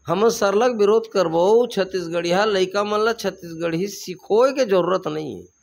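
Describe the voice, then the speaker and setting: Chhattisgarhi guy, kinda high voice, fired up, repeats himself building to a point. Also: fairly bright tone. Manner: fervent, repetitive rhetorical build-ups, rising emphatically on key points. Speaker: activist leader being interviewed. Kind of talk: impassioned political interview answers.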